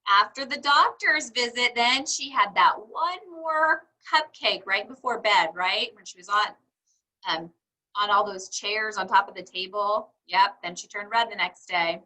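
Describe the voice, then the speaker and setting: A woman reading a storybook to young children, encouraging them and pausing for answers to her questions. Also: mid-range voice, expressive, sharp tone, low-quality recording. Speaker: read-aloud teacher for young children.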